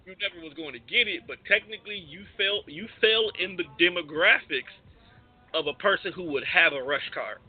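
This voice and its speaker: Man, podcast host, higher-pitched, husky, slangy teasing banter, muffled lo-fi sound. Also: moderate pace, soft background music. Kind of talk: casual joking banter.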